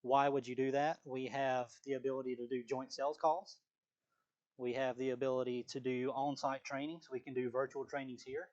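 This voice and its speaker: A man's voice: mid-range voice, level tone, normal speed, darkish tone, dull audio. A man leading a live sales training on a video call, talking casually with frequent fillers and restarts.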